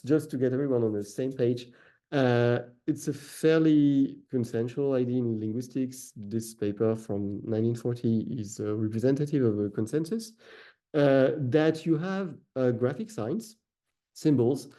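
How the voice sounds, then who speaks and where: French guy lecturing, lots of uhs and restarts, very dark deep tone. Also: moderate pace. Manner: frequent "uh" fillers and self-corrections. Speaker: researcher giving a talk. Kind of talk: academic lecture.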